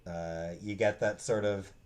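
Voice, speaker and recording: A man giving a casual, unscripted book review in a halting, hesitant way, often trailing off and restarting. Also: fairly low pitch, steady tone, darkish tone. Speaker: book reviewer, vlog host.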